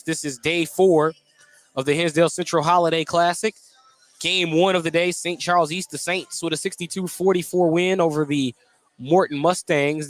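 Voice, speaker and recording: A man with an American accent doing live radio play-by-play commentary of a basketball game in clipped phrases. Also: high-pitched. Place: indoor basketball gym.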